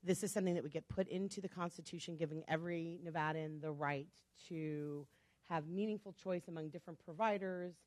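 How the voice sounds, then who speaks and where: Low-voiced fast-talking woman speaker. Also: fairly even intonation, darkish tone, dry recording. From Chicago. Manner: fast-talking, chatty, jokey asides to the audience. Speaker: guest speaker. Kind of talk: public talk to a club audience.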